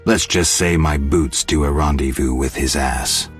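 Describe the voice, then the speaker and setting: An actor playing a sardonic, terse monster hunter in a video game, with a dry, laconic delivery. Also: male voice, a bass voice, measured pace. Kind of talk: video game character acting. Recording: loud music behind the voice.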